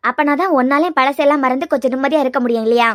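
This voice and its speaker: A woman's voice: sits high, very fast, close to an everyday voice. An actress playing a motherly middle-aged aunty in a TV serial, consoling a crying girl in a firm voice. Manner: firm, consoling, scolding lightly. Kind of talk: TV serial acting.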